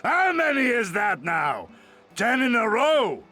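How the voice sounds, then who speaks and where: An actor playing a surprised, gruff adult male game character who uses theatrical, old-fashioned exclamations. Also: very high voice, huge pitch swings, slow pace, bright, forceful tone, full character voice. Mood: shouting. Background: faint game music.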